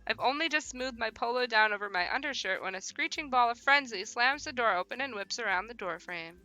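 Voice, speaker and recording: Female game streamer, high and very bright voice, animated slangy chatter and read-aloud text, music underneath. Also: brisk. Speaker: host of a visual novel livestream. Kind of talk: casual livestream chat and reading game text aloud.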